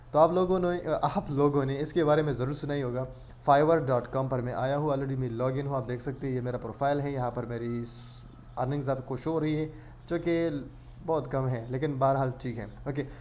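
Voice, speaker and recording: A man's voice: medium pitch, darkish tone, slight background noise. A man hosting an online tutorial, explaining step by step in a casual way and mixing English words into his speech. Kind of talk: casual screen-share tutorial narration.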